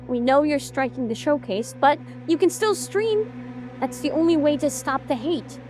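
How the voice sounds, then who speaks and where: A woman's voice: high voice, moderate pace, darkish tone, lightly acted. A woman acting as an insistent company staffer in a scripted drama. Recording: music under the voice. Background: music.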